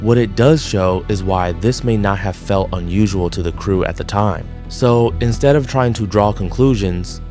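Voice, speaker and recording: A man with a fairly low voice delivers scripted analysis in a measured, deliberate way. He narrates like a documentary host, with faint music underneath. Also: dark tone. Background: background music.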